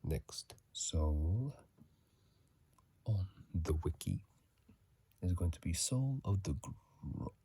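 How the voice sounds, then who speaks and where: A video host whispering softly in a breathy, hushed voice, reading aloud and adding casual commentary in an ASMR style, close to a phone mic. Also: low-pitched, lively intonation, very slow, long pauses, dry recording. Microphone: phone mic held close.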